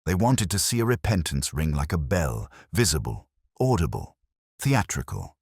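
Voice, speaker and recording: Man acting cerebral detective, bass-deep, solemn, deliberate, aphoristic, dry recording, storybook mystery. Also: sing-song, a little mellow, husky, dry recording, heavily acted. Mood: philosophical. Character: cerebral, grave male detective, quietly philosophical.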